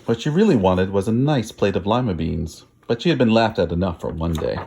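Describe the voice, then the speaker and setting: A man reads a children's storybook aloud in a very animated voice. His pitch moves widely, and his phrasing is dramatic and emphatic. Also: a little fast, creaky, slight background noise. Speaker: storybook reader. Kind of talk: expressive children's story narration.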